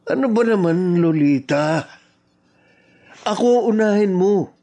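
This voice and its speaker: Radio drama as selfish gambling husband, Filipino accent, somewhat high male voice. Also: lively intonation, slow pace, gravelly, warm tone, full character voice. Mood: demanding.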